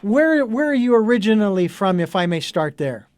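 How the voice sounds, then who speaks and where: A middle-aged man hosts a radio interview program. His voice sits high for a man and is recorded dry, with no room sound. He talks earnestly and wanders through his sentences with plenty of fillers. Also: big pitch swings, moderate pace, a little mellow, no room sound. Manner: earnest, meandering, with many fillers. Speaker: radio talk show host.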